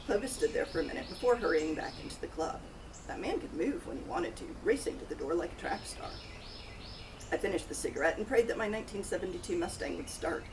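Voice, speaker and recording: A woman's voice: fairly low pitch, lively intonation, quick pace. A woman author reading first-person narration from her own cozy mystery novel. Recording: distant mic, loud outdoor noise, birds, crickets.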